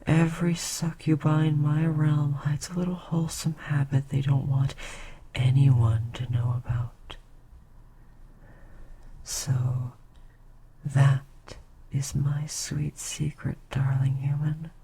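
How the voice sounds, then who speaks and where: A woman's voice: low voice, level tone, a little hiss or hum. A woman voicing a whispery ancient succubus queen. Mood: soothing. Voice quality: breathy whisper. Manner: soft, slow, often whispering. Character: ancient queen succubus, tender, seductive, motherly. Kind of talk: ASMR roleplay.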